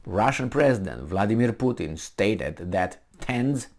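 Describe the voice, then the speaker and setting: A man's voice: fairly low pitch, lively intonation, a little slow, a little mellow. A man with a Romanian accent, a native Romanian speaker, reading a news article aloud and commenting on it in a casual, rambling way, with lots of fillers and self-corrections.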